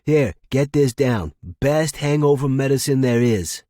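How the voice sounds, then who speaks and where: A man voicing a boisterous young drinking buddy in audio fiction, loud, hearty, slangy and nosy. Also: mid-range voice, expressive, measured pace, no echo, studio quality, pitched above the performer's own voice, lightly acted. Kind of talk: audio fiction character acting.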